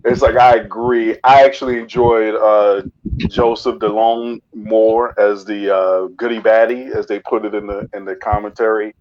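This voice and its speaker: A man giving opinions in a casual chat, measured and searching for words, on an online call. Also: fairly low pitch, little pitch movement, darkish tone, lo-fi sound, slight background noise. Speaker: podcast guest reviewer.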